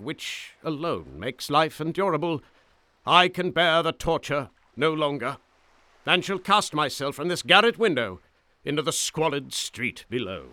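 A haunted, despairing addict delivering a florid confession for an old radio horror drama. His sharp, bright voice swings widely in pitch, recorded close and dry. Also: no echo. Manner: florid, literary, theatrical. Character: doomed morphine-addicted man writing his suicide note, desperate and haunted.

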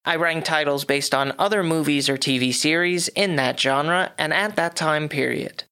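A man hosting his own podcast, reading a scripted movie review with dry, wry asides, his voice a little hoarse from a cough. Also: a little high, moderate pace, pushed and bright. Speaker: podcast host.

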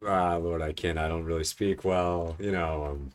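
A man's voice: low voice, steady tone, moderate pace. A man in a casual Bible discussion, affirming and finishing his partner's points. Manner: affirming, chimes in and finishes the other man's points.

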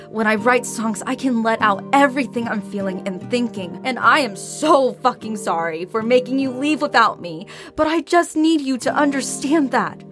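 An actress playing a defiant, passionate young band singer, blunt and sweary, in a naturalistic audio drama with music behind her. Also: on the high side. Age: young adult.

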